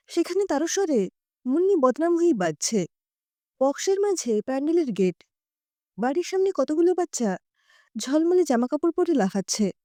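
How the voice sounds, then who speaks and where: A woman narrating a story in an expressive first-person storytelling style. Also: high-pitched, dry recording, studio quality.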